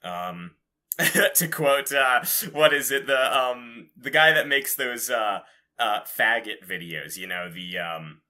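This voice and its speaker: A man's voice: medium pitch, expressive, slow, pushed and bright. A man hosting a podcast, chatting casually about game news in an informal, rambling, casually profane way. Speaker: podcast host.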